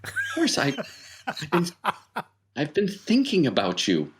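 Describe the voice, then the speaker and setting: Male player as clingy, smitten ex-girlfriend in an improvised RPG, raised pitch, lively pleading swings. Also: a little slow. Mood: insistent, smitten. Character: lovestruck, pleading, insistent ex-girlfriend.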